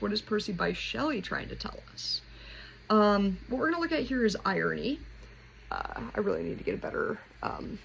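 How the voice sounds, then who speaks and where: A woman's voice: medium pitch, fairly bright tone. A woman hosting a casual explanatory lesson, talking informally and conversationally as she thinks aloud. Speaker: host of a poetry explainer. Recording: clearly noisy home recording.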